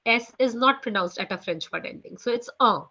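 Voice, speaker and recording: A woman's voice: expressive, fairly quick, pushed and bright, husky. A woman with an Indian English accent teaching a beginner French class online, often repeating "you know" and "okay" between phrases. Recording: muffled low-fidelity audio.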